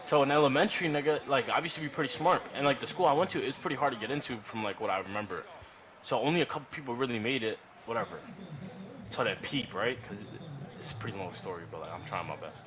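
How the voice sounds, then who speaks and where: A man rambles through a casual, profane story, slangy and animated, often repeating himself. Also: mid-range voice, fast, crowd noise. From New York. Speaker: podcast storyteller. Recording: noisy room recording.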